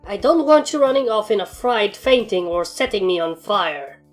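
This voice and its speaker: A woman's voice: medium pitch, expressive, moderate pace, faint music behind, voice pushed up, lightly acted. A woman voicing an anxious pony with a dry, wry manner in a fan fiction story reading. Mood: resigned, serious. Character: anxious, sarcastic pony with a wary streak.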